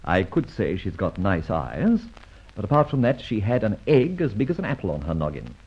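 Dull old radio recording of a breezy gentleman adventurer. His pitch leaps about with great animation as he teases and banters in flippant, theatrical style. The voice has a husky grain and plenty of mischief. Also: sing-song, moderate pace, full character voice. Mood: clever, explaining. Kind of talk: mid-century radio drama acting.

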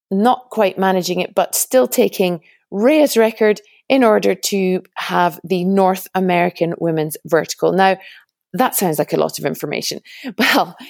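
A woman's voice: medium pitch, darkish tone, dry recording, clean studio sound. A woman hosting a podcast, chatty and upbeat, introducing an episode and then interviewing guests. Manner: upbeat, chatty, laughs often. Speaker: podcast host.

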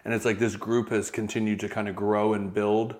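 A man's voice: on the low side, flat delivery, fairly quick, darkish tone. A man in his thirties co-hosting a podcast, chatting casually and teasing his partner. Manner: teasing, easygoing. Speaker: podcast co-host. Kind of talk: casual chat.

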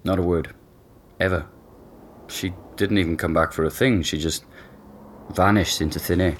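An actor playing an easygoing young boyfriend being interviewed at home, casual and hesitant in a naturalistic audio drama. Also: male voice, low voice, fairly even intonation, moderate pace, slight background noise, natural voice. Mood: candid, puzzled.

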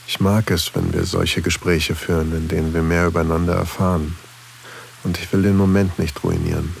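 A man's voice: deep voice, flat delivery, moderate pace, creaky, slight background noise. A man acting a flirtatious single father in an intimate erotic audio drama, teasing and a little hesitant, narrating his own thoughts. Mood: aroused, musing.

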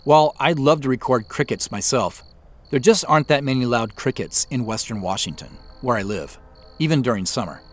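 A man's voice: medium pitch, animated, fairly quick. An American man giving a scripted behind-the-scenes commentary as a show's creator, explaining things conversationally and speaking directly to listeners.

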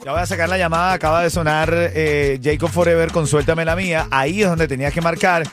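A man's voice: a little high, fairly even intonation, brisk, fairly bright tone. A man hosting a radio show in casual Spanish slang, joking and bantering informally. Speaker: morning radio show host. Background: background music.

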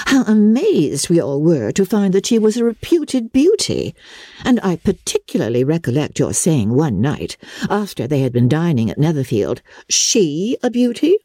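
A woman's voice: lowish voice, mellow, no room sound, studio quality. A woman reading a classic novel, voicing a spiteful, haughty young society woman with an arch, mocking manner.

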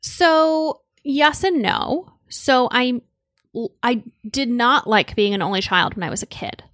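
A woman's voice: a little high, slow, a bit bright, no room sound, clean studio sound. A young woman from Connecticut co-hosting a podcast, chatting casually and rambling with lots of "like.". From Connecticut, United States. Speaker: podcast co-host. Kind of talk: casual chat.

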